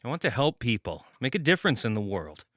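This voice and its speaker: A man's voice: medium pitch, very animated, fast, a bit bright. A man playing an earnest, self-doubting hero in an audio drama, speaking in a hesitant, natural manner. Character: troubled, earnest young male hero who doubts himself.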